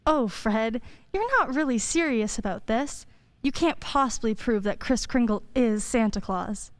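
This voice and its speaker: A woman's voice: a little high, lively intonation, a little slow. A woman playing a sensible, skeptical sweetheart in a radio play, speaking with earnest reproach. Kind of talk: stage-style radio play acting.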